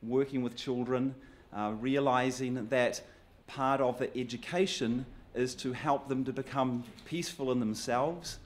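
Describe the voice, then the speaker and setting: A man with a New Zealand accent speaking to an audience in an easygoing, conversational talk. His pitch sits in a mid range for a man, and he drops in light, self-deprecating humour. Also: a little slow. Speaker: guest speaker. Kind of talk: public talk with slides.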